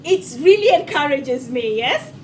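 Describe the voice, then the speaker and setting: A woman from Kenya chatting on a cooking livestream in a high, lively voice. She is bubbly and affectionate with her viewers, laughs often and teases them playfully. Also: measured pace, slight background noise. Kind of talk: casual livestream chat with viewers.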